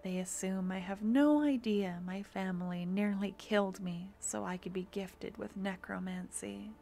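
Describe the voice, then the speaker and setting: A young woman voicing a doting, composed necromancer girlfriend in an ASMR fantasy roleplay, speaking softly and intimately, slipping into whispers close to the mic. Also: medium pitch, a little slow, vocal fry, soft background music. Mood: confiding. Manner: soft, intimate, drifting in and out of whispers. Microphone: close ASMR microphone.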